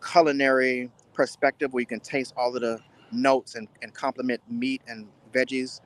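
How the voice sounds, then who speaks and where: A man speaking as an interview guest, giving earnest, deliberate answers in a casual style. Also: mid-range voice, little pitch movement. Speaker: guest, spice entrepreneur.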